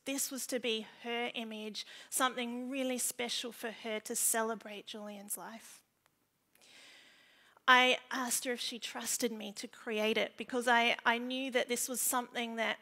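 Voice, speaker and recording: An Australian woman in her thirties giving an earnest, measured personal talk on a stage through a headset mic. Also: on the high side, bright, forceful tone. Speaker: keynote speaker, photographic digital artist. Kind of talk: prepared stage presentation, personal storytelling. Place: large indoor theatre stage.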